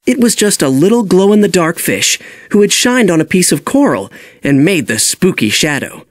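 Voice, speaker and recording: Animated male children's storybook narrator. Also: high voice, normal speed, dry recording, clean studio sound. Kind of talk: expressive scripted children's read-along narration.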